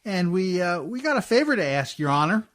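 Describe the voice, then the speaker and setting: A man's voice: high-pitched, sing-song, brisk, a bit bright, dry recording, studio quality. A man playing a humble, easygoing riverboat man in a radio play, with a hesitant, folksy way of talking. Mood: sheepish, pleading. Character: humble, easygoing riverboat man, sheepish and fond of his sweetheart.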